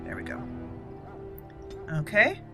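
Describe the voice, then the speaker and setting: A woman's voice: slow, pushed and bright, faint music behind. A woman hosting a gaming video, giving casual roleplay commentary and thinking aloud as she plays.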